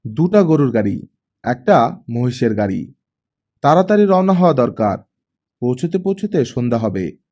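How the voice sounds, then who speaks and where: A man's voice: mid-range voice, very animated, normal speed, long pauses, darkish tone, close to an everyday voice. A man voicing an irritable, self-important father in an audiobook, grumbling with indignation. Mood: irritated.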